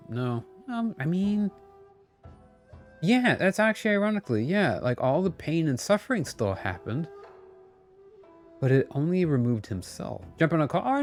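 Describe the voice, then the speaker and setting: A man live-streaming a game with casual, rambling commentary, often correcting himself as he goes. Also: fairly high pitch. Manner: rambling, self-correcting, chatty. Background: game music.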